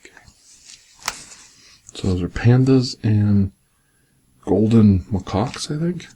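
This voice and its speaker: A man reading a picture book aloud in a soft, gentle, partly whispered ASMR bedtime style with casual asides, close to the microphone. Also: on the low side, expressive, slow pace. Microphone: close binaural microphone. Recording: clear background noise, binaural ASMR microphone.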